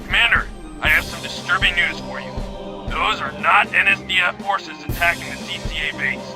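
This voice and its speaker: A man playing a businesslike military officer, giving a clipped, authoritative mission briefing in a gravelly voice. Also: high-pitched, moderate pace, music in the background, close to an everyday voice. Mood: urgent, concerned. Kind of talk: scripted game mission briefing.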